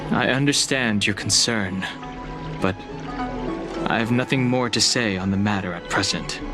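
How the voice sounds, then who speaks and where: Game hero, male actor: reserved, stoic young noble man, lowish pitch, very unhurried and deliberate, music underneath. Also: close to an everyday voice. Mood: guarded. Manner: restrained, serious, deliberate. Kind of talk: scripted game cutscene acting. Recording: music behind the voice.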